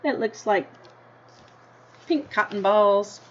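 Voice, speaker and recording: An American woman teacher reading a picture book aloud to children, deliberately, with simple explanatory asides and questions to her listeners. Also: mid-range voice. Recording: dull lo-fi sound with noticeable background noise.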